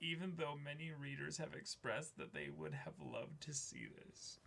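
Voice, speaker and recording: A man's voice: fairly high pitch, big pitch swings, moderate pace, gravelly, sharp tone. A young man casually discussing and reading aloud as a co-host, speaking emphatically and swearing freely. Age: young adult. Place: small indoor studio with backdrop.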